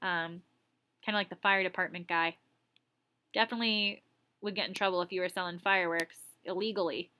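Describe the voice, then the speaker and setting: A woman teacher reading a story aloud expressively, pausing to explain words in a casual way. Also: fairly low pitch, fairly even intonation, bright, forceful tone, slight background noise.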